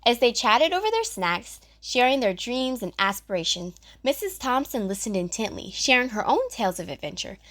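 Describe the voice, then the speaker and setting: A woman's voice: on the high side, very animated, moderate pace, hardly any pauses, fairly bright tone. A woman narrator reading a scripted children's story.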